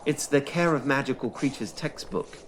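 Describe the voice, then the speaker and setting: A man's voice: mid-range voice, normal speed, lightly acted. Man acting an earnest bookish schoolboy, anxious, defensive, audio drama, faint background noise. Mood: quiet, matter-of-fact.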